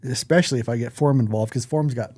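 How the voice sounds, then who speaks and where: A man's voice: medium pitch, fast, mellow, breathy edge, no echo. A man hosting a podcast in a casual, conversational style, speaking bluntly and swearing freely. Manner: blunt, profane. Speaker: podcast host. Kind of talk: casual chat.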